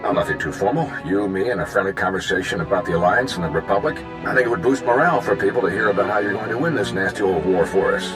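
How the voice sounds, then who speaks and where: An actor playing a personable, showy galactic news reporter and host in a video game, with polished delivery. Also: male voice, low-pitched, quick pace, hardly any pauses, over music, close to an everyday voice. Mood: chummy, persuasive. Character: smooth, chatty male galactic news reporter and show host.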